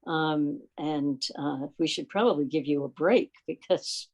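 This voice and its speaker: A woman with a slightly low voice hosts an online meeting. She speaks very slowly and off the cuff, effusive but pausing as she finds her phrasing. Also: a little mellow, lo-fi sound. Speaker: meeting host. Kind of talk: unscripted club meeting talk on a video call. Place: remote video call.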